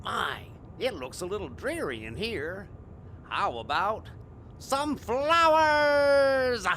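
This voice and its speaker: An actor playing a grumpy, boastful stage magician in an audio drama, sarcastic and theatrical in manner. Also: male voice, sing-song, slow pace, bright, forceful tone, full character voice. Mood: showy, grandiose. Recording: faint noise with low rumble.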